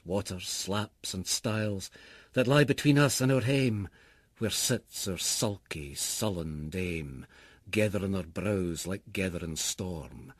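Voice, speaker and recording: A man with a Scottish accent giving a theatrical, expressive recitation of narrative poetry in Scots. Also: deep voice, no room sound, clean studio sound. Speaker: poetry reader.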